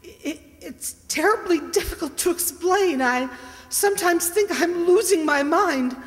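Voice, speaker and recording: Lady doing scared haunted wife in an old radio mystery, really high voice, big hall echo. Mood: desperate, pleading. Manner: halting, dramatic, fretful delivery. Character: Diana, frightened haunted wife turning undead. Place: stage in a community hall, stand microphone. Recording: echoey hall, music underneath.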